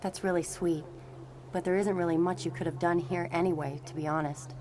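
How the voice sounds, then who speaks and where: A woman acting as a young telepathic superheroine with a fairly low voice. She is tender but wry and fatalistic, in motion comic drama with clear vehicle noise behind her. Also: fairly even intonation, a little fast, lightly acted. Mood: wry, uneasy.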